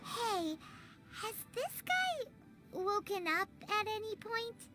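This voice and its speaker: A tiny, helpful fairy sidekick from a video game. The voice is extremely high and childlike, with a perky, curious manner. It takes its time over words, with light music underneath. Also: female voice, sing-song, takes it slowly, exaggerated voice. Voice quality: squeaky, childlike. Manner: perky, inquisitive. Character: small childlike female fairy companion, eager, chirpy, helpful. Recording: faint music behind.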